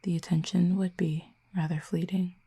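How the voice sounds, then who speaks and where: Woman doing a calm formal cupid for audio rp, lowish soft breathy voice, quietly fond and a bit teasing. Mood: reserved, fond. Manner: measured, formal, softly teasing. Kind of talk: intimate audio roleplay to the listener.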